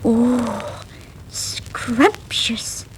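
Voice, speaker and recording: Squeaky, timid little country mouse. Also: female voice, extremely slow. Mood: wistful wonder. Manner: breathy, wide-eyed, squeaky exclamations. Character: timid, naive little female country mouse, easily thrilled. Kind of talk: acted children's storybook voice. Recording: noisy 1960s vinyl record.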